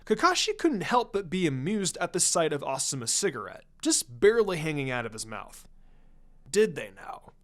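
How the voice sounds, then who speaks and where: A man's voice: on the high side, big pitch swings, brisk, hardly any pauses, fairly bright tone. A man giving a scripted, dramatic narration of a fan fiction story. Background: background music.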